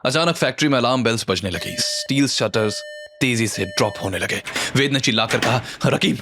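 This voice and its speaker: A man's voice: mid-range voice, lively intonation, brisk, background noise. A man narrating a scripted story in a dramatic audio series style. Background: background music.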